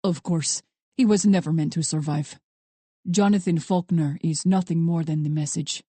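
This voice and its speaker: A woman voicing a calm, menacing Russian man holding captives in an audiobook thriller, speaking in a deliberate, conversational way with a Russian accent. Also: deep voice, husky, dry recording, pitched below the performer's own voice. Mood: cold, matter-of-fact. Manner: conversational, deliberate, coldly amused. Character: calm, menacing, well-dressed Russian male captor.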